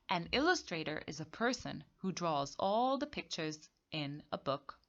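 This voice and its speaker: Slow, expressive woman reading to children. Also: fairly low pitch, highs cut off. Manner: slow, deliberate explaining with repetition. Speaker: teacher reading aloud. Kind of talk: read-along story lesson for young children.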